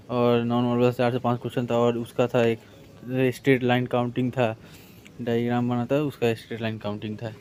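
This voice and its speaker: Male coaching teacher, mid-pitched monotone, colloquial and repetitive explanations, some noise behind the voice. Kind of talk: informal exam paper review lesson. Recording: clear background noise.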